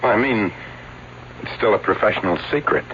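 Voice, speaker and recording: An actor playing a loyal, defensive escape-act associate in a 1970s radio drama, speaking in an earnest but evasive way. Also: male voice, on the low side, fairly quick, lightly acted. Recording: muffled, faintly noisy old radio broadcast.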